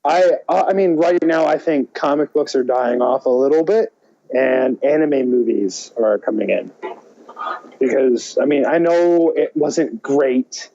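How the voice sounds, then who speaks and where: A man co-hosting a podcast, chatting casually and thinking aloud in halting, hesitant phrases. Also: medium pitch, vocal fry, dark tone, lo-fi sound. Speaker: podcast co-host. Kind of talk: casual chat about movies and games.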